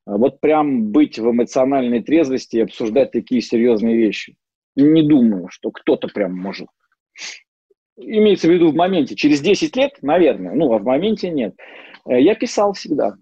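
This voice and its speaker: A middle-aged man giving an informal lecture as a psychologist, mixing in personal stories and pausing often on fillers and restarts. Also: medium pitch, sing-song, warm tone, husky, dry recording. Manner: hesitant, many fillers and restarts. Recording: dull lo-fi dry sound.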